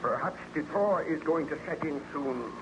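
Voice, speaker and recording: A man's voice: a little high, moderate pace, natural voice. A man playing a haunted, fearful baron in an old-time radio drama, earnest and melodramatic. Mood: weary, troubled. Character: haunted, fearful baron obsessed with destroying his creation. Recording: noisy old radio recording.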